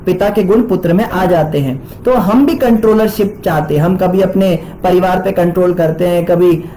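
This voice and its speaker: A man's voice: high-pitched, normal speed, warm tone, slight background noise, poor audio. A man, likely in his twenties, giving a devotional scripture lecture in an explanatory way with rhetorical questions and everyday examples. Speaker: religious teacher giving a scripture class.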